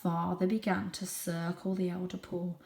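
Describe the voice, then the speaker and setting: A woman's voice: on the low side, flat delivery, moderate pace, vocal fry, darkish tone, distant mic. Woman reading a story, echoey room. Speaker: storybook reader. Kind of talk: expressive children's story reading.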